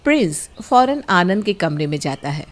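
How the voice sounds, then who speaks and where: A woman's voice: fairly low pitch, sing-song, moderate pace, noisy background. A woman reading scripted narration for a story.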